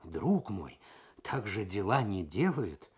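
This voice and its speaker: Man voicing a wary, musing low-voiced dealer. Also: very animated, slow, darkish tone, husky, exaggerated voice. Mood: skeptical. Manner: hesitant, musing, with filler words.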